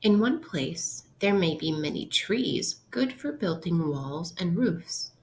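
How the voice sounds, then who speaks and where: Slow-paced woman teacher reading aloud to children. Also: on the low side, lively intonation, a little hiss or hum. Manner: instructional, posing questions to listeners. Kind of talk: interactive read-aloud lesson for young children.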